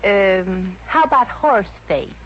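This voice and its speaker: An American actress playing a wisecracking Brooklyn showgirl working as a stenographer in a 1950s radio comedy, with a wry, deadpan delivery. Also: mid-range voice, slow pace, a little hiss or hum. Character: wisecracking Brooklyn showgirl turned office stenographer, brassy and unfazed. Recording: dull vintage radio recording with music.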